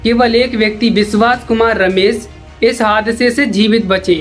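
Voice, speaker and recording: A woman's voice: a little high, steady tone, normal speed. A woman delivers scripted documentary-style news narration. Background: background music.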